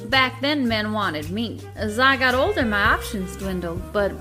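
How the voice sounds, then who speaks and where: A woman's voice: mid-range voice, expressive, a little slow, close to an everyday voice. A woman playing a gossipy, jaded older diner waitress with a sassy manner in an audio drama. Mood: wistful, testy. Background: music.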